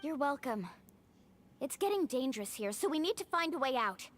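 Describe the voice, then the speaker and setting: A woman voicing a confident young island guide who is brisk and composed, in an anime-style video game. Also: high-pitched, big pitch swings, a little hiss or hum. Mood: firm, composed.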